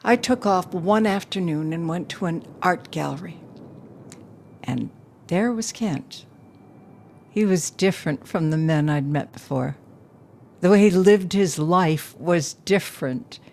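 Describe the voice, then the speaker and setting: A woman playing a low-voiced, grieving businesswoman. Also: measured pace, darkish tone, slight background noise. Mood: wistful, reflective. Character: grieving, self-possessed businesswoman recounting a lost love. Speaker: actor in an audio play. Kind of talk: intimate dramatic monologue, audio play acting.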